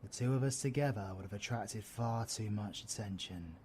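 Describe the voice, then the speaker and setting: A man's voice: fairly low pitch, normal speed, slight background noise, lightly acted. A British man playing a cheeky, flamboyant supervillain in an audio roleplay, with a slangy, theatrical manner. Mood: soft, sincere. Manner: theatrical, slangy, swinging from soft to shouting. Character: cheeky, flamboyant young male supervillain, affectionate and chaotic.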